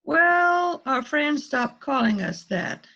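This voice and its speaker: Innocent-seeming little suspect woman acting, high voice, comic literalness, dull online audio. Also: animated, slow pace, sharp tone, a little hiss or hum, heavily acted. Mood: innocent, explaining. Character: small, guileless-sounding female murder suspect spinning an alibi. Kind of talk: amateur readers theater mystery.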